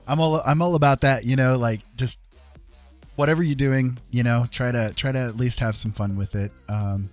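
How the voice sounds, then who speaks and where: A man livestreaming in a casual, rambling and gentle way. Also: medium pitch, steady tone, moderate pace. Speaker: live streamer and artist. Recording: music playing behind the voice. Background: music.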